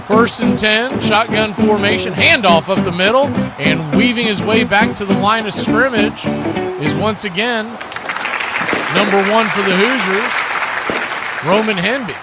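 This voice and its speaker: A man's voice: high voice, a little slow, over loud music, a loud crowd. A man doing live play-by-play commentary of an American football game as the announcer. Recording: loud background noise.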